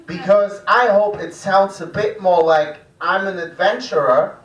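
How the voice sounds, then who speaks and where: Slow, high-voiced male stand-up comedian. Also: lo-fi sound. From Germany. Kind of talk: live stand-up routine. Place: small live comedy room at a cafe. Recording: echoey room, distant stage microphone.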